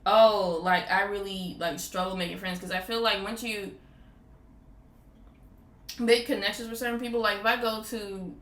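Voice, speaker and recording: A woman's voice: mid-range voice, fairly even intonation, normal speed, long pauses, fairly bright tone. A woman hosting a casual podcast chat, rambling with lots of "like" fillers. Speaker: podcast host. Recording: roomy echo with faint background noise.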